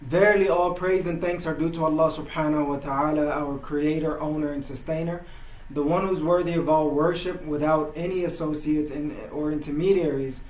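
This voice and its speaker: Guy hosting a chat show, kinda high voice, keeps saying alhamdulillah, echoey room. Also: fairly even intonation, normal speed. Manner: warm religious phrases, often says alhamdulillah. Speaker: host. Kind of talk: casual interview with religious opening. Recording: roomy echo with faint noise.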